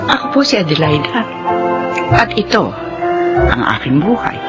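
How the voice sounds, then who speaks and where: Emotional middle-aged Filipino mother, loving, heartfelt radio drama delivery, loud music bed. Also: deep voice, very animated, moderate pace. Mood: reflective, moved. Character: Adelaida, tearful loving middle-aged mother telling her life story. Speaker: lead actress and narrator.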